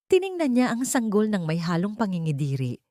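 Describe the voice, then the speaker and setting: A woman's voice: mid-range voice, wildly swooping pitch, a little fast, dark tone, dry recording, studio quality. A woman storyteller narrating a scripted audio drama in a dramatic style.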